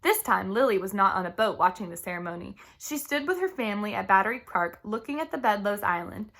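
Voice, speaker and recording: A woman reading a children's book aloud in an expressive, animated way, as a storybook reader. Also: medium pitch, fast talker, creaky. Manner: animated, acts out dialogue.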